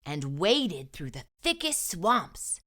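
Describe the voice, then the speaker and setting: A woman reading a storybook aloud to young children, with expressive, emphatic delivery and deliberate phrasing. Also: on the low side.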